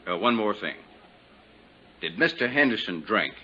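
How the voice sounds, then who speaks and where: An American man acting as a formal, probing small-town inquest official in a 1950s radio drama, measured and official. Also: lowish voice, very animated, bright, forceful tone, full character voice. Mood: formal questioning. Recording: dull, noisy 1950s radio recording.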